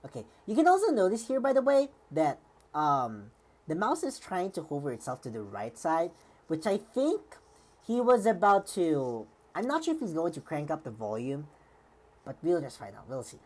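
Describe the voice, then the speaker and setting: A woman's voice: low-pitched, wildly swooping pitch, normal speed, darkish tone, low-quality recording. A woman streamer giving rambling live commentary, full of fillers and restarts, with a slightly worn voice.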